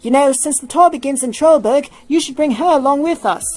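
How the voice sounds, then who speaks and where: Sweet, gushing female bandmate done by a woman reader, high-pitched, story narration over soft music. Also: fairly quick, natural voice. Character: Nessa, sweet gushing female band member.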